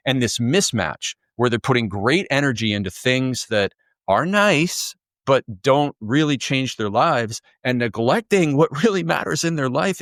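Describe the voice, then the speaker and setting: A man's voice: medium pitch, fairly bright tone, no room sound, studio quality. A man from Canada, a guest author, answering interview questions in a fluent, upbeat, storytelling way. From Canada, near Toronto.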